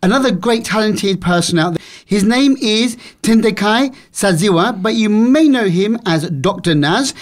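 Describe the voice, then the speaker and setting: A man's voice: high voice, lively intonation, normal speed, few pauses. A man hosting a radio interview in a studio, asking friendly questions and reading parts of a press bio. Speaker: radio host.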